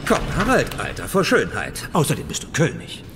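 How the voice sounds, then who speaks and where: An actor playing a brash, boastful, jovial thrill-seeker in a radio drama, cocky and slangy. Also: male voice, a little high, huge pitch swings, normal speed, loud music behind the voice.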